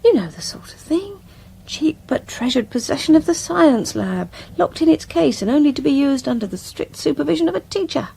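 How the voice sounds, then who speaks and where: An actress with a British accent playing a determined, curious woman with a brisk, coaxing manner in a radio drama. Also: sits high, sing-song, vocal fry, mellow, heavily acted. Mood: hesitant. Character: determined, curious, bossy amateur sleuth woman named Jen.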